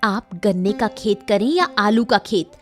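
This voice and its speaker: A woman's voice: medium pitch, lively intonation, a little slow, soft background music, close to an everyday voice. A woman voicing a blunt, practical village daughter-in-law in a cartoon story. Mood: scornful, nagging.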